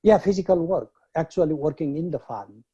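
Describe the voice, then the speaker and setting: A man from Nepal, a guest scholar, recalling his upbringing in an unhurried way with many pauses. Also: fairly high pitch, animated, dark tone, breathy edge, lo-fi sound. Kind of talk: reflective interview talk.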